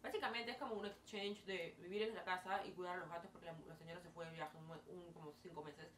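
A woman's voice: lowish voice, fast talker. Peruvian woman chatting in a roomy bedroom. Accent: Peruvian Spanish. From Peru. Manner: chatty, animated hand gestures, frequent fillers. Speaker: vlogger. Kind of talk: casual storytelling to camera. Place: small bedroom, indoors. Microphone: camera mic at a distance. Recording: roomy, distant, faint hiss.

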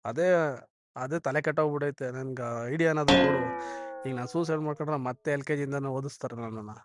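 A man's voice: medium pitch, a little fast. A man with a Karnataka Kannada accent making a prank phone call in casual, colloquial speech, pestering and insistent. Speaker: prank caller, channel host. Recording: clear background noise and clicks.

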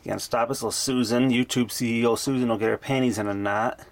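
A man's voice: medium pitch, steady tone, fast talker, soft background music. A man casually narrating a hands-on demo in an offhand, matter-of-fact way, recorded indoors on a handheld camera. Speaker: hobbyist vlogger. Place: dim indoor room at home. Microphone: camera mic, held by speaker. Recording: clearly noisy handheld camera audio.

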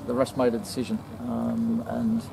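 Man, English accent, 40s, football manager, measured and firm, outdoor noise. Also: mid-range voice, sing-song, creaky. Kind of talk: post-match interview. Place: outdoors in front of a sponsor board at night.

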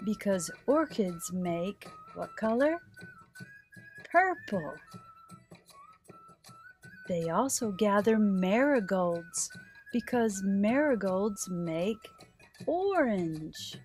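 A woman's voice: mid-range voice, darkish tone. A middle-aged woman hosting a children's story time, reading aloud expressively and putting simple questions to her young listeners.

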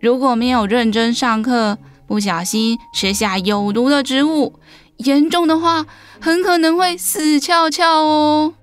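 A woman's voice: high voice, a little slow, faint music behind. A woman voicing a kind, instructive teacher of little animals in a children's story. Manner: encouraging, clear instruction to small children.

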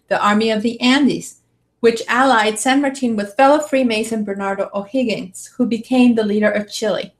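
A woman in her thirties reading a scripted narration aloud into a studio microphone indoors. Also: fairly high pitch. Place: small room, indoors. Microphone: studio condenser mic with pop filter.

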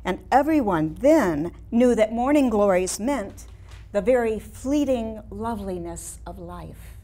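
A woman in her sixties with an American accent performing a staged monologue as a wistful, reflective older painter looking back in measured, nostalgic phrases. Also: mid-range voice, expressive, dark tone, lightly acted. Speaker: playwright performing a staged reading. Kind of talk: theatrical monologue reading. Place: small studio room with seated audience.

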